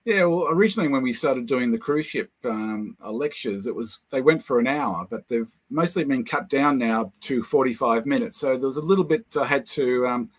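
An older man with a New Zealand accent, a guest lecturer telling anecdotes over a video call, with many pauses and fillers. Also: mid-range voice. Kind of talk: casual chat, storytelling.

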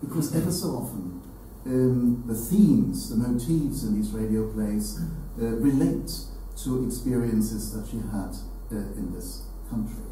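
An older man giving a formal tribute to an audience, speaking deliberately and haltingly, repeating phrases for emphasis. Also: mid-range voice, warm tone. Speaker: event speaker. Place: hall with seated audience, indoors. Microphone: distant camera. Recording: very echoey room, distant mic, slight noise.

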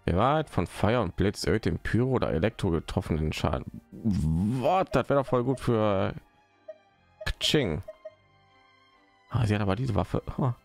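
Male streamer, very low creaky voice, casual rambling play-by-play, muttering to himself over faint music. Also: expressive, moderate pace. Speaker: live streamer. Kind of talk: casual gaming stream commentary.

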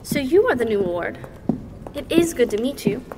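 A woman's voice: high voice, very animated, moderate pace, background noise. A woman playing an eager, well-mannered young noble daughter in a fantasy audio drama. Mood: bright, eager.

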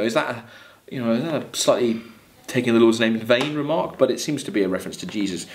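A man in his thirties giving a college literature lecture, reading poetry aloud and explaining it in a halting, think-aloud manner. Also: fairly low pitch. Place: small plain room, seated at a desk.

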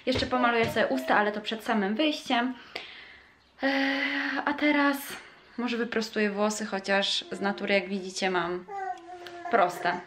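A woman's voice: medium pitch, steady tone, measured pace, a crowd in the background. A woman in her twenties from Poland, chatting casually to camera as she gets ready at home. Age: 20s. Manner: chatty, talks to her small child in a sing-song voice. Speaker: vlogger. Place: bedroom at home. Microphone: handheld camera mic. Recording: noisy camera-mic recording.

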